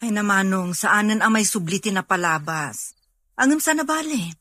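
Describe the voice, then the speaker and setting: A woman's voice: mid-range voice, animated, moderate pace, sharp tone, no room sound, studio quality. A woman with an Ilocano Filipino accent playing a concerned, probing married sister in a radio drama.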